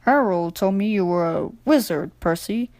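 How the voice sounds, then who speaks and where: A woman's voice: medium pitch, expressive, normal speed, darkish tone, no echo, lightly acted. A woman voicing a stern but kindly male railway boss in a children's storybook. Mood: approving.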